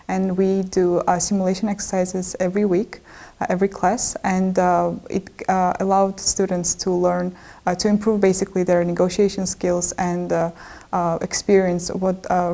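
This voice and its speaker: A woman's voice: on the low side, vocal fry, warm tone. A woman from Central Asia, a graduate student, describing her courses hesitantly with many fillers. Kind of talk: conversational program overview.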